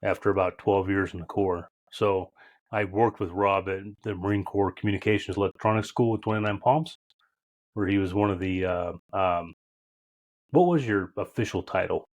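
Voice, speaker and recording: A man's voice: sits low, fairly even intonation, moderate pace. A man in his fifties who hosts a podcast, speaking in a reflective way and searching for his words as he introduces his guest. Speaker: podcast host. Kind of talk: casual interview conversation.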